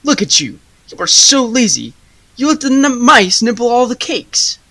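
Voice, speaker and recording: A proud, stingy, quick-tempered baker man in a radio play, played by a teenage girl. The voice is bright and forceful, scolding with theatrical emphasis. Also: a little high, big pitch swings, exaggerated voice. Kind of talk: high school radio play acting.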